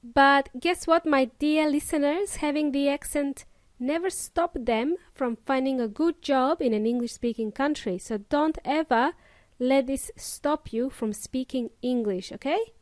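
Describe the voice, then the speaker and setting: Upbeat woman podcast host, Eastern European accent with Kiwi traces, bright high voice, dry close recording. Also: a little slow, dry recording. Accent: Eastern European with New Zealand and Australian traces. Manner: upbeat, addresses listeners directly with Spanish asides. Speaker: English teacher podcast host. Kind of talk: friendly podcast lesson.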